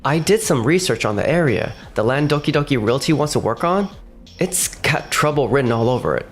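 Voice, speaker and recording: A man's voice: medium pitch, lively intonation, natural voice. Man acting a composed young company boss. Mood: focused. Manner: measured, composed. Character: level-headed, cautious young male company boss and narrator. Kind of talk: manga dub dialogue and first-person narration. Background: faint background music.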